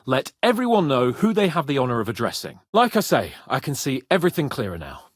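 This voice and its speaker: A man's voice: mid-range voice, sing-song, a little fast, dry recording, clean studio sound. A man voicing a cocky, boastful young noble lord in a medieval video game, with a pompous, spirited manner. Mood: brash, self-assured.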